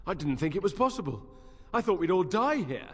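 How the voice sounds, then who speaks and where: A man's voice: high-pitched, sing-song, brisk, husky, long reverb tail, heavily acted. An actor playing an awed, eager male quartermaster in a fantasy video game. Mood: amazed, relieved.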